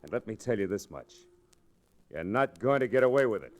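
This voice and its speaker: An actor playing a blustering, crooked cattleman villain in an old-time radio Western, gruffly indignant. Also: on the low side, sing-song, a little fast. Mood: angry.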